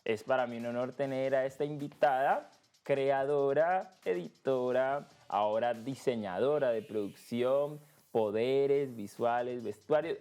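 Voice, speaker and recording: A man with a Colombian accent from Cali, hosting a casual podcast interview and slowing down to stress key words. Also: medium pitch. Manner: expressive, slows down to stress words. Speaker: podcast host.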